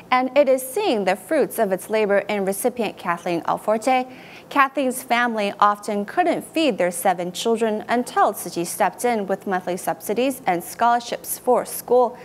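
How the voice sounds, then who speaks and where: A woman reading news in a clear, composed anchor style. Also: on the high side, a little hiss or hum.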